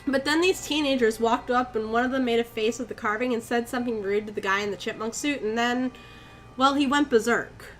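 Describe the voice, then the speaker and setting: A woman's voice: fairly high pitch, a little fast, fairly bright tone, soft background music, close to an everyday voice, rough recording. A woman plays an uneasy mother from a story game. She has a Maine accent and recounts a horrifying memory in dramatic first-person storytelling. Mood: grim, shaken.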